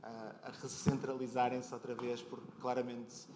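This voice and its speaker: A man with a European Portuguese accent interviews casually as host on a live stage. His delivery is conversational and a little searching, at a medium pitch. The sound is echoey, with clear noise from the venue around him. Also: level tone, moderate pace. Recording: roomy echo, clear convention hall noise.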